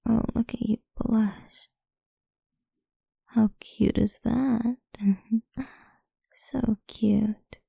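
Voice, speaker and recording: A woman whispering a slow, doting and teasing ASMR roleplay in a breathy voice. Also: a little high, fairly even intonation, warm tone, dry recording, clean studio sound.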